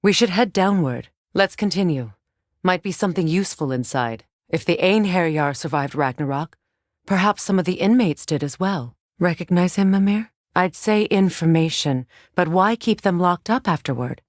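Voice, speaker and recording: A woman performs a regal warrior goddess with a low, dry-recorded voice. She is guarded and solemn, with a commanding, measured delivery and dry wit. It is dramatic acting for a fantasy video game. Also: animated, no room sound, lightly acted. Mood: guarded, watchful.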